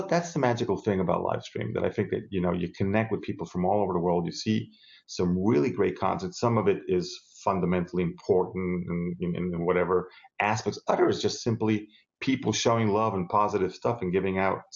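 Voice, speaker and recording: Low gravelly middle-aged man, chatty. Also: dull audio. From South America. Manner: enthusiastic, rambling, with many restarts. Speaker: guest. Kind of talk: casual video-call interview. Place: home room with bookshelves. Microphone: earbud headset on a video call.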